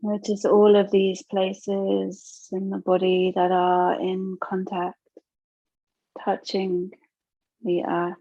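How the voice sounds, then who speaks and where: Female meditation guide, soothing and very slow, with noticeable vocal fry, on a dull lo-fi recording. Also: medium pitch, monotone, no echo. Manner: soothing, unhurried, gentle instruction with long pauses. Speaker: yoga nidra teacher.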